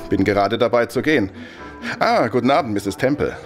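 Ein Mann spielt in einem Hörspiel einen respektvollen, etwas verlegenen und entschuldigenden Polizeibeamten. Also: male voice, medium pitch, lively intonation, a little fast, faint music behind, close to an everyday voice. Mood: flustered, polite. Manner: apologetic, polite. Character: flustered, respectful police superintendent. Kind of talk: German radio play acting.

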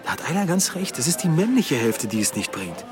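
An actor playing a blunt, defensive middle-aged police detective in a radio drama. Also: male voice, fairly high pitch, sing-song, a little fast. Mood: blunt, agitated. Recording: music clearly behind the dialogue.

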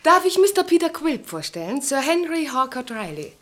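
A flighty society hostess in a radio drama. She speaks in a rather high voice, poised, mannered and theatrical. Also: sing-song, normal speed, a bit bright. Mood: gracious, flustered. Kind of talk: German radio play acting.

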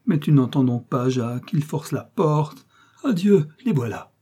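A terrified peasant mother acted out by a male narrator from a storybook, pious and trembling. The voice is husky and lifts high in imploring exclamations. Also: animated, moderate pace, mellow. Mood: desperate.

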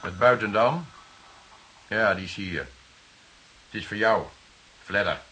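An actor playing a stern, formal police commissioner in a radio drama, with an authoritative, clipped manner. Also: male voice, fairly low pitch, slow, sharp tone, a little hiss or hum. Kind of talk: Dutch radio drama acting.

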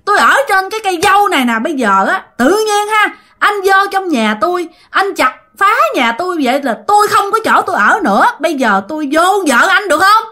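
Woman voicing a high-pitched, aggrieved female tree ghost. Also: very animated, brisk, pushed and bright, exaggerated voice. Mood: indignant scolding. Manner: insistent, repetitive complaining.

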